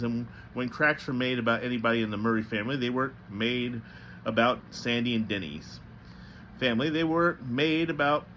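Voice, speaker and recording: A man narrates a novel in a mid-pitched, bright voice. His reading is a little halting, with small stumbles. There is faint noise behind him. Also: highs cut off. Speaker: storybook reader.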